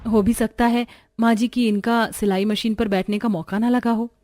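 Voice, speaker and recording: A woman's voice: a little high, fairly even intonation, moderate pace, a little mellow, no room sound, clean studio sound. A woman voicing characters in a cartoon family story in an earnest, pleading tone. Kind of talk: cartoon dialogue acting.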